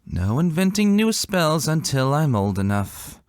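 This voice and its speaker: Man putting on an eager high-pitched little girl pony. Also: sing-song, measured pace, no echo, studio quality. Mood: sullen, insistent. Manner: childlike, earnest, pleading. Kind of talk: dramatic fan-fiction reading.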